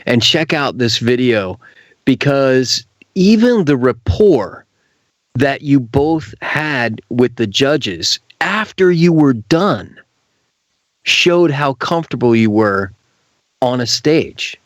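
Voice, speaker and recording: A man's voice: mid-range voice, big pitch swings, slow, no echo. A man hosting a casual radio interview, speaking emphatically as he stresses his points. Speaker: radio host.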